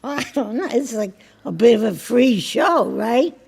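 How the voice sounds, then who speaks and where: Casting: wry married lady for radio play. Highish, very creaky, hesitant, unfussy. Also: lively intonation, normal speed, a little mellow, exaggerated voice. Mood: wry, chatty. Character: wry, chatty married woman, wistful and unfussy. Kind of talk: naturalistic audio drama acting.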